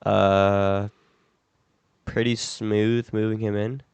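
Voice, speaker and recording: A young man co-hosting a casual chat podcast, relaxed and rambling, with a slightly stuffy nose from a cold. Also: lowish voice, very slow, creaky, smooth, no echo, lo-fi sound. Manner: relaxed, rambling, laughs easily. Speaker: podcast co-host.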